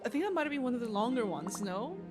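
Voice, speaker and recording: A woman's voice: a little high, sing-song, fast. A young woman streamer giving casual live reactions to a video game, with music playing behind the voice.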